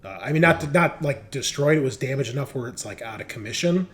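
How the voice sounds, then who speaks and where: A man's voice: mid-range voice, lively intonation, a little fast, fairly bright tone. A man narrating a tabletop game as game master, improvising in a casual, conversational way. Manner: conversational, colloquial, with some profanity. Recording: clear background noise.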